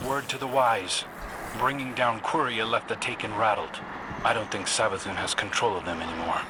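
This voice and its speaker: A man's voice: lowish voice, fairly even intonation, normal speed, very noisy, lightly acted. A man playing a wry, streetwise young scout in a video game, speaking in a pointed way. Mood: wry, advising. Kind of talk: video game cutscene acting. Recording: loud game music behind. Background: loud music.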